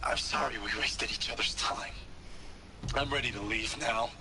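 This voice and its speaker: A man's voice: medium pitch, normal speed, noisy background, natural voice. An actor playing an angry, sarcastic man in a video game, incredulous and stammering with scorn. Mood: agitated. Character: angry, sarcastic man refusing to cooperate, demanding to leave.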